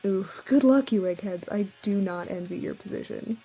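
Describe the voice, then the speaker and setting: Woman acting young spellcasting housemate, cocky, theatrical, teasing, raspy yet soft-toned, fantasy comedy audio drama, faint music. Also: medium pitch, moderate pace, poor audio.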